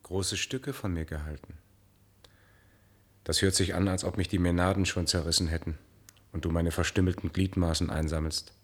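An actor playing a bitter, jealous betrayed singer in a German radio play, with a theatrical, sardonic and pensive delivery. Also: male voice, deep voice, fairly even intonation, moderate pace, lightly acted.

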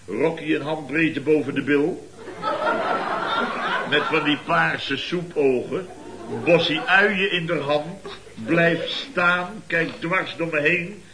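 A man's voice: fairly high pitch, takes it slowly, background noise. A man playing a self-pitying, blustery contractor boss in a Dutch radio comedy serial, complaining indignantly in clipped, repetitive phrases. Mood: aghast, mocking.

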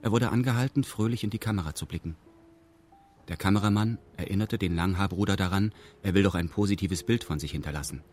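Actor as low-voiced, deadpan male narrator. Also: quick pace, faint music behind, lightly acted. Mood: deadpan, detached. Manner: formal, deadpan, stilted indirect speech. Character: detached male first-person narrator, pedantically formal and dry. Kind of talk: scripted radio drama narration.

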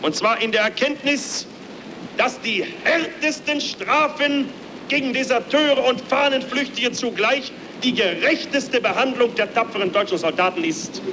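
A man's voice: very high voice, unhurried, background noise. A man delivering a wartime propaganda speech in an emphatic, declamatory manner on an archival recording. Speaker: Nazi party political speaker.

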